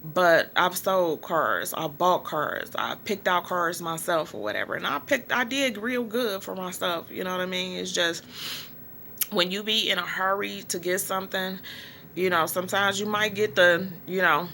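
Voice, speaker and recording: A middle-aged woman and small business owner talking casually to her viewers in a rambling way, with many fillers and pauses. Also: lowish voice, vocal fry, sharp tone, a little hiss or hum. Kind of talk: casual vlog monologue.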